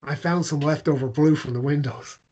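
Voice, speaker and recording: A man's voice: on the high side, normal speed, warm tone, slight background noise, close to an everyday voice. Muffled, hesitant American guy, played by a man. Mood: warm. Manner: hesitant, stumbling over repeated words. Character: awkward, gently joking American man falling in love. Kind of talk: naturalistic romance drama table read.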